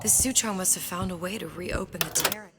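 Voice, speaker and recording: A woman's voice: fairly low pitch, a little fast, very noisy, natural voice. An actress playing a clever, troubled young woman who thinks aloud with dry sarcasm in a video game. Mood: puzzled, realizing. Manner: musing, dryly sarcastic. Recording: loud music behind the voice.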